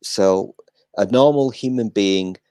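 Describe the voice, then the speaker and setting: Older man, low-pitched, flat monotone delivery, self-deprecating, dry close sound. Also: slow, clear, resonant tone, no room sound. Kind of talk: casual chat.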